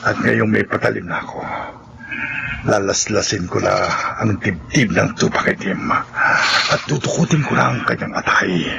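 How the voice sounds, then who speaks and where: A man's voice: fairly low pitch, unhurried, gravelly, muffled recording, noisy background. A man with a Filipino accent playing a secretive, irritable man on a grim night mission, urgent and emphatic in a horror radio drama.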